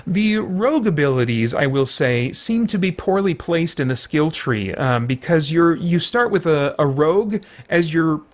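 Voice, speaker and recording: A man's voice: a little high, expressive, unhurried, few pauses. Rambling man reviewing a game. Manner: rambling, frequent fillers and restarts. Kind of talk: casual spoken review.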